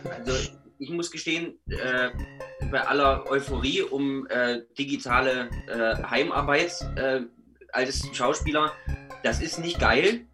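A man, an actor, reflecting personally and casually in a halting, drawn-out way. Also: medium pitch, over music.

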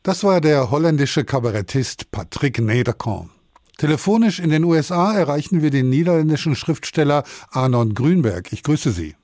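A male radio host presenting and interviewing guests with crisp, scripted introductions. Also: on the high side, animated, moderate pace, a little mellow, no echo.

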